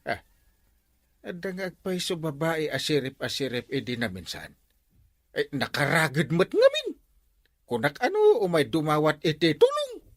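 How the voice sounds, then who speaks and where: A man's voice: a little high, wildly swooping pitch, moderate pace, pauses often, no room sound, studio quality, exaggerated voice. A man playing a flustered, grumbling village captain who stammers and exclaims, in an Ilocano comedy audio drama. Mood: flustered, defensive.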